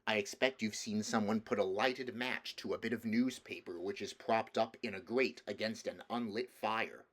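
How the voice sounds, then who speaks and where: A man with a fairly low voice reads a storybook aloud. It is scripted narration, delivered as an audiobook reader would. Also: fairly even intonation, moderate pace.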